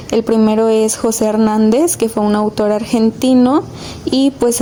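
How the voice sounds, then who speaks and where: A woman's voice: a little high, warm tone, slight background noise. A woman presenting a student podcast, explaining informally in a hesitant, conversational way.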